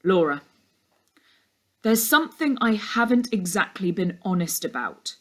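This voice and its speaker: A woman's voice: mid-range voice, sing-song, a little slow, fairly bright tone. Woman reading as an intense, brooding male detective. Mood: confessional, intense.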